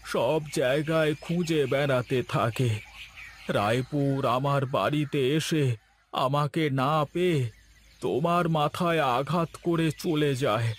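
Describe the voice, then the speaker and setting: A man voicing an anxious, earnest village husband in a Bengali cartoon, with a dramatic drawn-out delivery and faint noise behind him. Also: fairly high pitch. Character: anxious village husband burdened by a guilty past.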